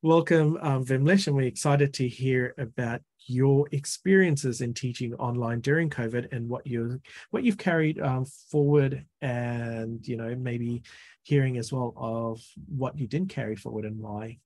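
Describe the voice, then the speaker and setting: A middle-aged man hosting a webinar on a video call from a home office, introducing the speaker in a measured way with many fillers. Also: medium pitch, darkish tone, no room sound. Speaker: webinar host.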